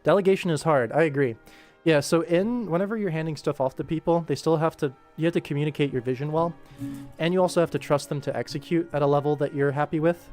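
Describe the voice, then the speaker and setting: A man's voice: on the high side, quick pace, darkish tone. A man chatting casually as a livestream host while he works, with a dry, tongue-in-cheek sense of humour. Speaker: livestream host, animation director. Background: music.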